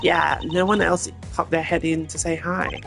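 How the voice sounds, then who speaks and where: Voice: medium pitch, flat delivery, moderate pace, vocal fry, lightly acted. A performer voicing a ditzy, sleepy, evasive young female flight attendant in a mystery game, airy and casual with feigned innocence. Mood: drowsy, carefree. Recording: game music behind the voice.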